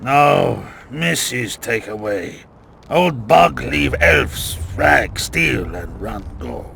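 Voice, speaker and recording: An actor playing a big, dim-witted, childlike male troll in a video game, growling in broken caveman grammar with halting short phrases. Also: medium pitch, lively intonation, sharp tone, a little hiss or hum, exaggerated voice. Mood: grumbling. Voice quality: gravelly, growling. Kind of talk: video game character acting.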